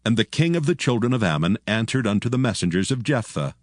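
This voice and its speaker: A man narrating a dramatized Bible in a measured, formal storytelling manner. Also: on the low side, sing-song, dry recording, clean studio sound.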